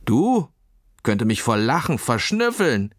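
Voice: mid-range voice, sing-song, slow, no echo, studio quality. A storyteller voicing a haughty, suspicious male fox with a mocking, nagging way of talking. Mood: scornful. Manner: mocking, nagging, rattles off questions.

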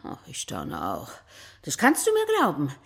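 An actress playing a spirited, chatty grandmother in a children's radio play, expressive and playful. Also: deep voice, measured pace, gravelly, slightly rough, heavily acted. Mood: surprised, chatty. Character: spirited grandmother, chatty hairdresser held captive.

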